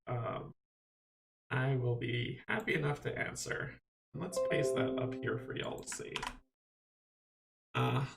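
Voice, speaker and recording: A man's voice: medium pitch, a bit bright, slight background noise. A young man from Guyana, hosting a casual live stream and answering viewers' questions in an upbeat, rambling way that often restarts its sentences. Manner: rambling, self-correcting, upbeat.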